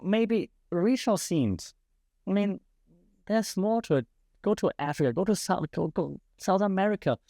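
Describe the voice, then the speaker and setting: A man's voice: sits high, expressive, moderate pace, vocal fry, warm tone, dry recording, studio quality. A man with a German accent hosting a podcast, giving passionate solo commentary and putting rhetorical questions to his listeners. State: slightly losing his voice. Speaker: podcast host.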